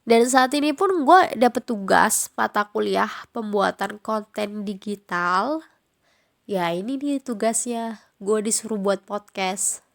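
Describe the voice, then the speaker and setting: A young woman with a Jakarta slang accent, nasal from a cold, chatting casually on her own podcast with frequent fillers. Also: medium pitch. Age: young adult. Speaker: podcast host.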